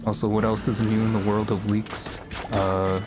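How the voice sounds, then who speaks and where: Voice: medium pitch, little pitch movement, moderate pace. Casual, rambling game streamer over music. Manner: rambling, musing aloud, self-deprecating. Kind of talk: casual livestream gameplay chat. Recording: music playing behind the voice.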